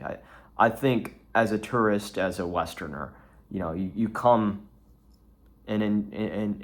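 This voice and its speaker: A young man from Texas, rambling through a solo vlog with lots of restarts and fillers. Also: on the low side. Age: young adult. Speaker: vlogger.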